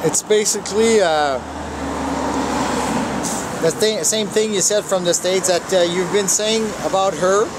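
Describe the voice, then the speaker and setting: A man's voice: high voice, a little slow, long pauses. A man working as a police officer, asking brief, probing questions outdoors. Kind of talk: questioning on the street. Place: outdoors on a city street. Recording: loud outdoor traffic noise.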